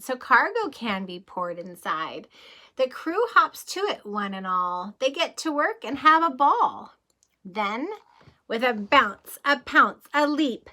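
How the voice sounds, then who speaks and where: A kindergarten teacher, a woman, reading a picture book aloud to young children, gently and expressively, pausing to ask them questions. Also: on the high side.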